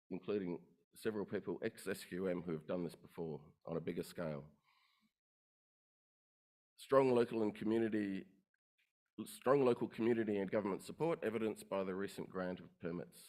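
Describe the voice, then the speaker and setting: Man, lower voice, brisk rehearsed investor presentation, crisp lists of figures. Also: darkish tone. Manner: brisk, rehearsed, listing facts. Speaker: company presenter. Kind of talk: investor conference pitch. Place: conference room.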